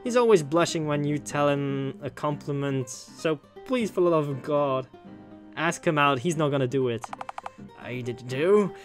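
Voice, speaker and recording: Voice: mid-range voice, unhurried. Informal, rambling gamer over music. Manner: informal, rambling, repeats phrases for emphasis. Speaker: gameplay commentator reading a visual novel aloud. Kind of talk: let's play commentary with voiced dialogue. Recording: music behind the voice.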